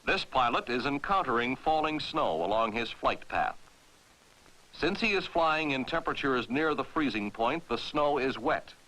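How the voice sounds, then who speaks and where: An American man narrating a 1960s military training film in a formal, authoritative, clearly enunciated way, on a vintage film soundtrack. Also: mid-range voice, expressive, normal speed, sharp tone, rough recording.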